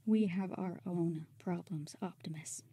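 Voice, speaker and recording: A woman's voice: lowish voice, unhurried, mellow, husky, no echo, clean studio sound. A woman playing a battle-hardened, bitter robot warrior, stern and weary, in a dramatic comic dub. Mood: resigned, cold.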